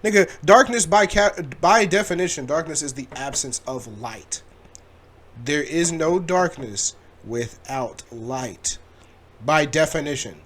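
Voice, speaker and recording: A man with a fairly high voice hosts a reaction video. He talks casually and bluntly, gets animated, and swears freely as he comments. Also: measured pace.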